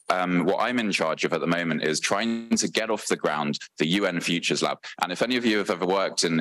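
A very deep-voiced British man gives a conference talk into a handheld mic. He speaks earnestly, with plenty of ums and self-corrections. The sound is close and dry. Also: a bit bright, no room sound, low-quality recording. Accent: British English. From Britain. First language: English. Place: large conference hall, on stage.

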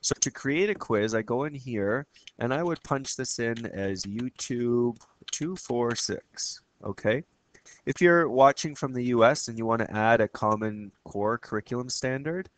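Man with a cold explaining software. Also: on the low side, a little hiss or hum. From Canada. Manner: casual, explanatory, with frequent fillers and restarts. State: has a cold, slightly raspy. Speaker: teacher. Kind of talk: screen-recorded software tutorial.

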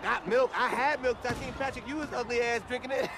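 A brash, wisecracking young guy. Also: very high-pitched. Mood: mocking. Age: young adult. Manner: yelling, rapid comic banter. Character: brash wisecracking young man, loud and excitable. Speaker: young man courtside at a pro basketball game. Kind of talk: broad comedy film acting. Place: packed indoor basketball arena.

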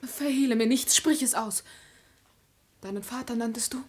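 Casting: fearful young sweetheart, high and husky-soft, tremulous vintage radio play delivery. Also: female voice, normal speed. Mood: anxious. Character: anxious, innocent young middle-class girl in love. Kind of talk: 1950s German radio play acting.

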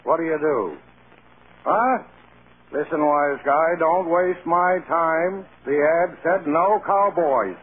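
An actor playing a curt, wisecracking apartment desk clerk in an old radio drama. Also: male voice, on the high side, slow. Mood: impatient. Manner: curt, slangy, dry. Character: brusque, wisecracking male boarding-house desk clerk. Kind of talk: 1930s-40s American radio acting. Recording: tinny, noisy old radio broadcast.

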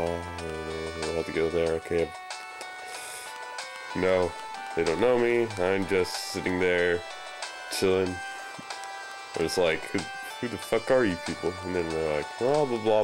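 Guy doing a lets play, kinda deep voice, sarcastic and sweary, game music going behind him. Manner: sarcastic, irreverent, profane. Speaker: let's play gamer. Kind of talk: casual improvised game commentary. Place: very dark room, filming a screen. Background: video game music.

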